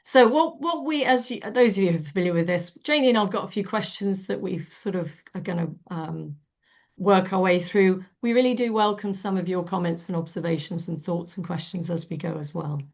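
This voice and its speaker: A woman with a croaky voice, hosting a coaching webinar in a warm, chatty way with many fillers. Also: fairly low pitch, a little fast. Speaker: webinar host. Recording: dull lo-fi webinar audio.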